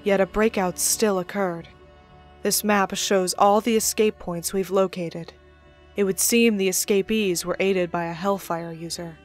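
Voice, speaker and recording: A woman's voice: medium pitch, steady tone, measured pace, soft background music, close to an everyday voice. A woman voicing a sober, dutiful demon warden of hell with a reserved, formal manner in an audio drama. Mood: grave, formal. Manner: reserved, formal, matter-of-fact.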